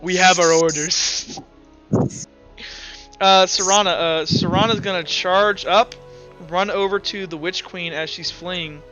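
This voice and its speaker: A man's voice: high-pitched, fairly even intonation, measured pace, sharp tone. A man working as game master, narrating a tabletop roleplaying game casually, thinking aloud and swearing freely. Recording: dull, lo-fi sound with background music.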